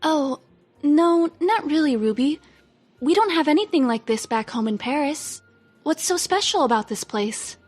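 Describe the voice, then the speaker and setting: A sweet, curious teenage girl asks questions in a high, bright voice, put on by a woman. Faint music plays behind her. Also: lively intonation, unhurried.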